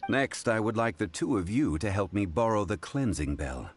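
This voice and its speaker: An actor playing a composed, mysterious gentleman in a video game, speaking in a measured, formal, courteous manner. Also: fairly low pitch, sing-song. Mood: calm, formal. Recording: soft game music behind.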